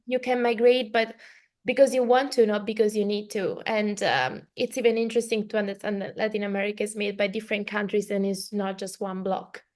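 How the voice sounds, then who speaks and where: A woman's voice: mid-range voice, fairly even intonation, brisk, a little mellow. A woman from Italy, a native Italian speaker with an Italian accent, hosting a casual, reflective podcast conversation. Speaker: podcast host.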